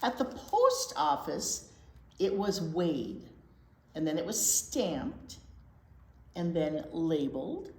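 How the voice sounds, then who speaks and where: A woman reading a picture book aloud to young children as a storytime teacher, expressive and emphatic, explaining things simply. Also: deep voice, takes it slowly, long pauses. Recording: roomy echo with faint noise.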